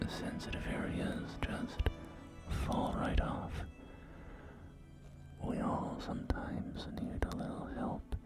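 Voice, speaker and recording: A man's voice: slow, natural voice. A man whispering in an ASMR roleplay as a courteous, enthusiastic post-apocalyptic real estate agent, with genteel, hesitant sales patter. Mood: delicate, polite. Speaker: roleplay performer. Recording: music behind close whispering. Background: background music.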